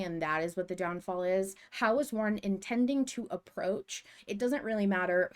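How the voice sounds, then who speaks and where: A woman co-hosting a podcast in casual discussion, speaking in an earnest, emphatic way. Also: medium pitch. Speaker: podcast co-host.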